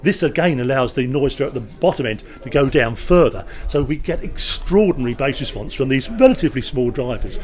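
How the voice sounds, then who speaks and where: A man speaking as a company representative, informally explaining a product at a trade show with emphatic salesman's patter and wry asides. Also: mid-range voice.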